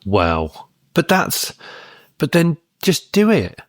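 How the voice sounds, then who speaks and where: A man's voice: fairly high pitch, huge pitch swings, takes it slowly, dry recording, studio quality. A man co-hosting a podcast in casual chat, a bit bunged up, blunt and exasperated. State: congested, bunged up. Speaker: podcast co-host.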